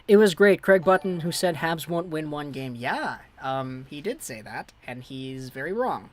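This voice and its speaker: A man's voice: a little high, lively intonation, a little slow. A young man hosting a casual hockey livestream, chatting loosely as he reads viewer messages aloud and thanks subscribers. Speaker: livestream host. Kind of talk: casual live chat, reading viewer messages.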